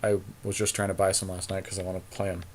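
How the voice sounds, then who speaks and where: A man's voice: sits low, flat delivery, fast talker. A man co-hosting a podcast, chatting informally and thinking aloud as he weighs choices during a card game. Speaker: podcast co-host. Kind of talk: casual chat during a card game draft.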